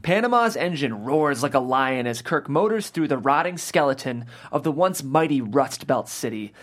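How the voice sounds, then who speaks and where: Animated male host with lively pitch. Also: moderate pace. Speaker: host, reader of stage directions. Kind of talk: casual podcast hosting and reading script directions.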